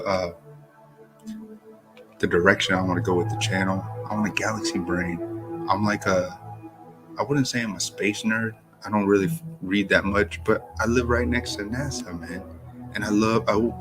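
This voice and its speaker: A man who just woke up, sounding groggy, rambling in a slow, laid-back live-stream chat about fantasy football. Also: fairly low pitch, fairly even intonation, over music. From Florida. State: groggy and deep-voiced from little sleep.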